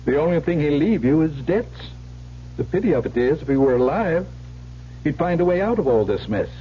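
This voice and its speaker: A man's voice: fairly high pitch, sing-song, fairly quick, slight background noise. An actor playing a blunt, fatherly middle-aged family lawyer in a radio drama. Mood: grim, blunt. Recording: dull, humming old radio recording.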